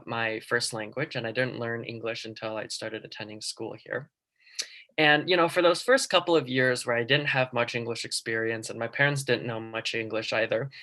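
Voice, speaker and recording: Young male student presenter, earnest storyteller, bright tone, video call. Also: mid-range voice. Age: young adult. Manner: earnest, personal storytelling with frequent ums. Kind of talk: class presentation.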